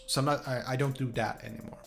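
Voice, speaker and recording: Gravelly man chatting casually to viewers. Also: mid-range voice, steady tone, faint music behind. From Sweden. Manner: halting, rambling, informal. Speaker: YouTuber. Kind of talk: casual chat to viewers, unscripted.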